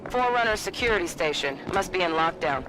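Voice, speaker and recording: A fast-talking, fairly high male soldier from a sci-fi game. He is wry and casual, bantering with his squad over battlefield noise. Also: lively intonation. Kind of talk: video game military dialogue.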